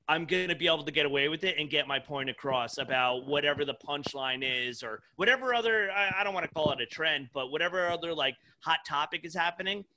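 Male comic, 30s, slightly high bright voice, loose sweary podcast banter. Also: a little hiss or hum, low-quality recording. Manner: profane, informal, frequent "dude" and "like". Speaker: stand-up comedian, podcast guest. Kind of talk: casual podcast chat.

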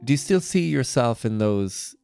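A man's voice: medium pitch, animated, normal speed, gravelly, dark tone, soft background music. A man hosting a conversational arts interview, putting considered, attentive questions to his guest. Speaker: interviewer, podcast host.

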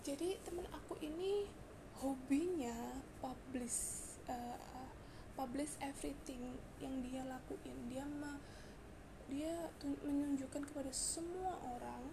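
Hoarse high-voiced young woman podcaster. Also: takes it slowly, noisy background. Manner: chatty, confiding, mixes in English words, many fillers and restarts. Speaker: podcast host. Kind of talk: casual solo podcast chat.

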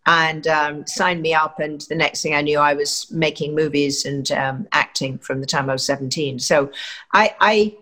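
A woman's voice: sits low, steady tone, moderate pace, a bit bright. An older woman from England, an actress, giving chatty, rambling interview answers full of fillers and restarts.

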